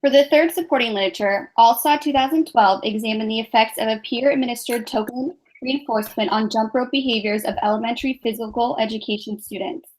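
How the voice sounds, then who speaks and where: Young woman reading a presentation, higher voice. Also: low-quality recording. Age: 20s. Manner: even, measured scripted reading. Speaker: graduate student presenter.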